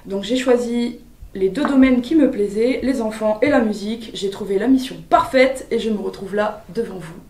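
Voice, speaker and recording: A woman's voice: on the high side, normal speed, a little mellow. A woman of twenty-six, a civic service volunteer, speaking in a thoughtful, composed way. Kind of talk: personal testimonial. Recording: roomy distant recording, faint guitar.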